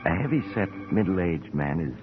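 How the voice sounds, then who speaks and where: A man's voice: deep voice, animated, moderate pace. A man narrating a scripted old-time radio drama as its host. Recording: muffled old radio recording. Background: music.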